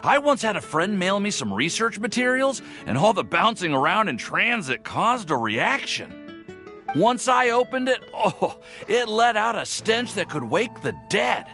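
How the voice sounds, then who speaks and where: A man's voice: high voice, measured pace, exaggerated voice. A man playing an affable, awkward young alchemist in a video game, chatty and easily flustered. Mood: cautious, chatty. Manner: hesitant, friendly, animated. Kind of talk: video game character acting. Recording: music playing behind the voice.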